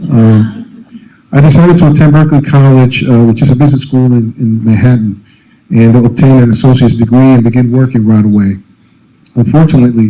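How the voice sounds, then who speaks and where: A middle-aged man from the Dominican Republic, a native Spanish speaker, giving a prepared speech at a college convocation, earnest, with frequent pauses and fillers. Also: medium pitch, mellow, slight background noise, poor audio. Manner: many uh and um fillers, measured and earnest. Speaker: alumni speaker.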